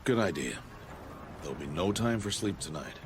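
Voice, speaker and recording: A man playing a stern, solemn middle-aged outcast hunter and foster father in a video game, speaking in a grave, measured, formal way. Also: low voice, lively intonation, music in the background. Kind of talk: video game cutscene voice acting.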